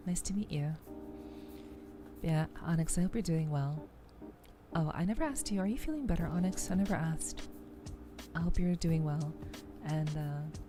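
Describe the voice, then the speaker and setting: A woman hosting a soft-spoken game livestream, greeting gently and often repeating her phrases. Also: sits low. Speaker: livestreamer host. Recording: music behind the voice. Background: music.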